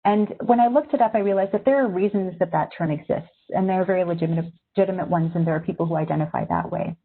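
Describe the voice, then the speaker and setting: Husky-voiced woman on a tinny call. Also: on the low side, fast. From Syria. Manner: thoughtful, reflective. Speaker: magazine editor. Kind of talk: webinar talk to journalism students. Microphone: video call. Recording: tinny video-call audio.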